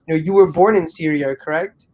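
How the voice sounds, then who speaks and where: A man's voice: a little high, a little fast, darkish tone. A man co-hosting a casual video call, asking friendly questions with lots of fillers. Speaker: co-host.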